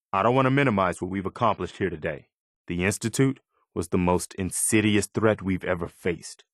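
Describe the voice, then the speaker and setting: A man playing an earnest, weary and idealistic militia officer in a post-apocalyptic video game, speaking in a measured way. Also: sits low, no echo, studio quality. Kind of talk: video game character dialogue.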